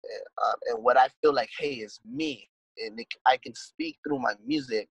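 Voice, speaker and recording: A man's voice: medium pitch, moderate pace, slightly rough, no echo, rough recording. A man and musician guest in a casual conversation, talking in a laid-back, rambling way with lots of swearing and "dude". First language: English.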